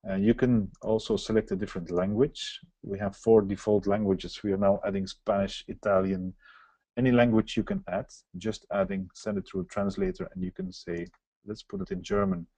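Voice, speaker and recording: Man for a webinar software walkthrough; explanatory, mellow tone, dry close sound. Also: on the low side, moderate pace, no room sound. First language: Dutch. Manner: explanatory, walks through on-screen steps. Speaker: software presenter. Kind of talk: live software demo webinar.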